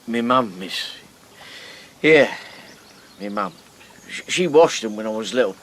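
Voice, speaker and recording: An actor playing a shy, rough, working-class boatman who stammers and speaks deferentially. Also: mid-range voice, sing-song, a little slow, a bit bright, heavily acted. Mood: bashful. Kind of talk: radio drama acting.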